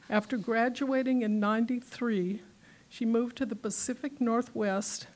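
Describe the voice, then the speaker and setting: A woman's voice: mid-range voice, a little mellow. A woman hosting a community arts gathering, reading out a guest's biography with small slips and fillers. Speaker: host introducing a speaker. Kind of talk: reading a speaker's bio aloud. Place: community meeting room.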